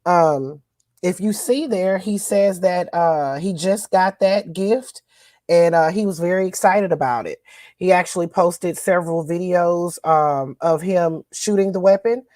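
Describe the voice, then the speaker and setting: A woman's voice: fairly low pitch, measured pace, warm tone, no room sound, studio quality. A woman co-hosting a podcast, recapping news in a chatty, folksy way with lots of fillers. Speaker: co-host.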